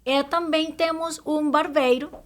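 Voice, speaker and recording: A woman guest with a fairly high voice speaks in a podcast conversation. She is a non-native speaker with a foreign accent, and she often pauses to search for words. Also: steady tone, fairly bright tone. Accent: foreign accent in Portuguese. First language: non-native Portuguese speaker. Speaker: guest immigration professional.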